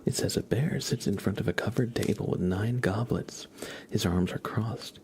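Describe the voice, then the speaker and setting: A man hosting an ASMR livestream, giving card readings in a gentle, halting way as he thinks aloud. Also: on the low side, slightly rough. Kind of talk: whispered ASMR, casual tarot card reading.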